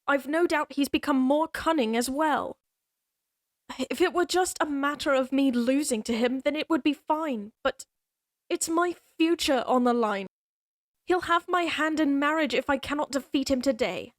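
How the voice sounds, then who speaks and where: A proud young lady knight, acted by a woman speaks straight to the listener in a fantasy audio drama. Her voice sits fairly high, in a very dry recording. She phrases things formally and a touch haughtily, with a teasing, protective streak. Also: normal speed, dry recording, natural voice. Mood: grave, determined. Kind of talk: fantasy audio roleplay acting addressed to the listener.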